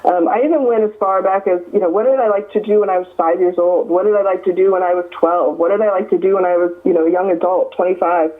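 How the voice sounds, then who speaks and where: A woman's voice: fairly low pitch, fairly even intonation, fast, few pauses. A Midwestern American woman in her fifties, an author being interviewed, talking earnestly with many ums and restarts. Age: 50s. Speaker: author guest. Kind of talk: casual interview over the phone. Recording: tinny phone line.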